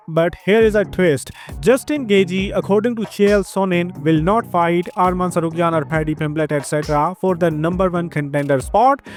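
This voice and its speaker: Young male news host, Indian accent, high-pitched, brisk scripted read over faint music. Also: darkish tone.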